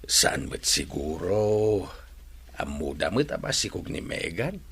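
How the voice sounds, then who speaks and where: A man playing a calm, doubtful older village father in an Ilocano-accented radio drama. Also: deep voice, slow pace, slightly rough, exaggerated voice.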